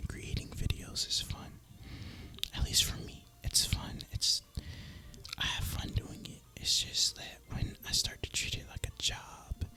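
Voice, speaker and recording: A man whispers in a breathy, unvoiced voice, rambling casually and reflectively in a relaxed gaming ASMR style, close to the microphone. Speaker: video creator and gamer.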